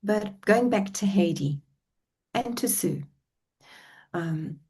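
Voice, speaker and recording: A woman's voice: medium pitch, animated, takes it slowly, darkish tone, breathy edge. A middle-aged woman with a South African accent, telling her life story as a guest on a podcast in a reflective, heartfelt way. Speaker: relationship coach guest. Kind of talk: podcast interview.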